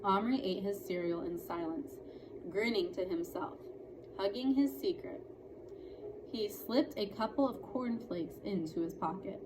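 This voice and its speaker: Woman reading a kids novel out loud, medium pitch, noisy recording. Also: a little slow. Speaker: reader of a children's novel. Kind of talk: storybook read-aloud narration. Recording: clearly noisy room recording.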